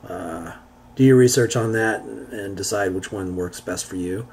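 A man giving an unscripted how-to software demonstration, thinking aloud in a halting way as he works. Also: lowish voice, gravelly. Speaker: tutorial presenter. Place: indoors, beside a computer. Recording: homemade recording with background noise.

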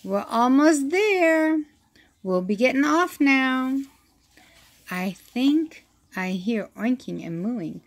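A woman reads a children's picture book aloud at a very slow, deliberate pace. Her voice sits fairly high and has a creaky edge, and her delivery is expressive. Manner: slow, expressive reading with acted-out sound effects.

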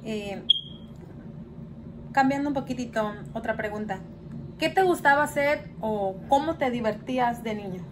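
A young woman reads questions off her phone in a small room at home. Her voice sits somewhat high, and she keeps her prompts short. Background noise is clearly audible. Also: measured pace, pauses often. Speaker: interviewer reading questions. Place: small indoor room, plain wall.

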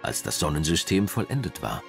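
Male science documentary narrator: low register, animated melody, measured and formal reading. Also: vocal fry, loud music behind the voice.

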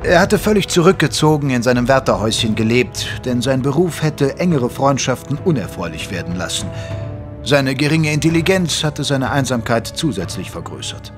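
Audio drama narrator male actor: wry gentleman doctor, medium pitch, measured and literary. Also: sing-song, music in the background, natural voice. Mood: measured narration. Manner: measured, literary, dryly amused. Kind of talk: scripted first-person narration in German audio drama.

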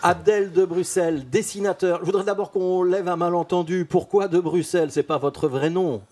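A man's voice: high voice, steady tone, moderate pace, darkish tone, soft background music. A man hosting a TV studio interview, asking short questions. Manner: asks short prompting questions. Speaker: TV host.

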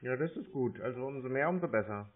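A man from Germany stringing along a scam caller, slow and feigning confusion as he fumbles for details. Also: mid-range voice, animated. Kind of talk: playing dumb on a prank call. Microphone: phone. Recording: tinny phone line.

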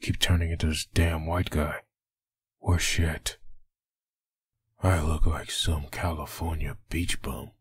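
A man playing a brooding, menacing undead vigilante in a comic dub, with a raspy voice and slow, grim delivery. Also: level tone, no echo, studio quality, exaggerated voice. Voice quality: deep, raspy.

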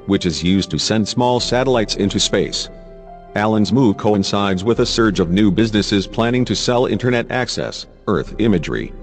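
A man reading scripted news narration as a narrator. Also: on the low side. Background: music.

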